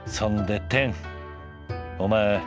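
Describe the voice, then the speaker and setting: A man's voice: lowish voice, takes it slowly, music in the background. A man playing an easygoing, authoritative middle-aged shogun in an anime voice drama, casual, commanding and wry.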